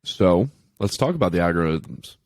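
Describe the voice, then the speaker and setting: Co-host, man in his twenties, low voice, dry sound, teasing casual delivery. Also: lively intonation, normal speed, no echo. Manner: joking, teasing, then asking questions. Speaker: podcast co-host. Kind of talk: casual podcast chat.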